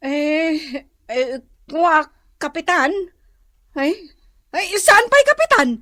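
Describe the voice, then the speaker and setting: Casting: a flustered Ilocano busybody, extremely high, sharp voice, exclamatory and stammering, comedy radio drama. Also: female voice, very animated, slow, full character voice. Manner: stammering, exclamatory, playing innocent. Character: squeaky, flustered female village gossip.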